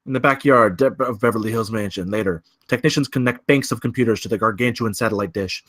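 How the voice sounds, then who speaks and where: A man's voice: on the low side, fast talker, fairly bright tone. A man reading scene directions as the narrator of a live screenplay table read.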